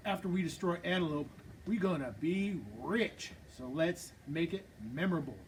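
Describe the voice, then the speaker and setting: A man's voice: sits high, normal speed, a little hiss or hum, lightly acted, rough recording. A man reading a script at a table reading, playing a scheming outlaw who plans a town robbery.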